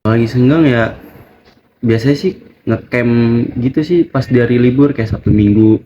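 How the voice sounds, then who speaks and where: A man's voice: medium pitch, moderate pace, warm tone. A twenty-year-old man giving plain, short answers as a student interviewee. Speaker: student interviewee in a group discussion. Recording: muffled, noisy lo-fi recording.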